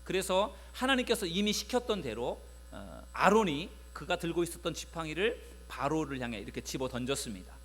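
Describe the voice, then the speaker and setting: A male pastor giving a Sunday sermon in a conversational way, often pausing on filler sounds while he retells a Bible story to the congregation. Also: a little high, sing-song, fairly bright tone.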